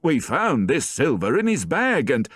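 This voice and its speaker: A man's voice: medium pitch, wildly swooping pitch, a little slow, slightly rough, dry recording, studio quality, pitched above the performer's own voice, exaggerated voice. A man voicing a dutiful police sergeant who asks formal, puzzled questions.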